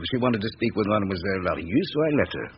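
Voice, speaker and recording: An actor playing a dutiful, fussy junior police inspector in a radio drama, reporting in a hesitant, formal way. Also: male voice, fairly low pitch, animated, very fast, natural voice. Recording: muffled, noisy old radio recording.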